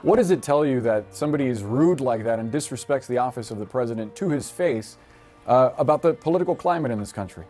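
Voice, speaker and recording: A man's voice: medium pitch, animated, fast, dark tone, faint music behind. A man working as a news interviewer, putting a political question in broadcast style.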